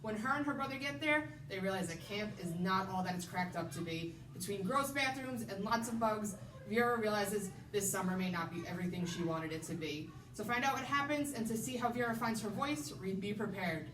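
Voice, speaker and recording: A woman's voice: medium pitch, fairly quick. A woman, a children's librarian, giving scripted book recommendations. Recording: roomy, distant mic, noisy background.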